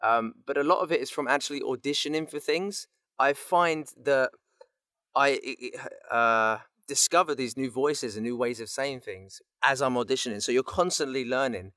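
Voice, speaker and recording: A man giving advice in a casual podcast chat, in a halting, self-interrupting way. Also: mid-range voice, sharp tone, dry recording, clean studio sound. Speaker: voice actor, podcast guest.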